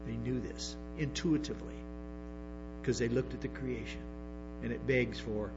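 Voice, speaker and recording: A man's voice: mid-range voice, fairly even intonation, normal speed, gravelly. A man preaching a sermon to a congregation, stumbling through restarts and tossing in emphatic asides. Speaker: pastor. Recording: dull lo-fi sermon recording with music and hum.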